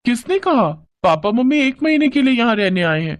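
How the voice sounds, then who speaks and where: A man's voice: very high voice, sing-song, a little fast, no echo, pitched above the performer's own voice. A man voicing a teasing, sensible village girl, the bossy elder sister in a cartoon story. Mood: taunting. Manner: taunting, bossy.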